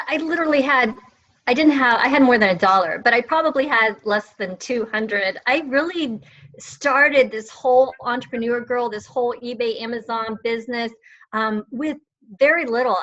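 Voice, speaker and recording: A woman's voice: high-pitched, a bit bright, dull audio. A middle-aged woman chatting as a guest on a video call, enthusiastic and rambling with lots of fillers. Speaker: guest, online reseller. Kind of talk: casual video chat.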